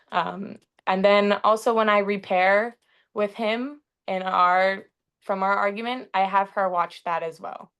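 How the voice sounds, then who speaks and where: A young woman from Hawaii talks with earnest care, her voice smooth and clear in tone and set a little lower than most. She fills her pauses with frequent soft "um"s as she thinks aloud. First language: English. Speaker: panel facilitator. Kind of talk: reflective panel talk.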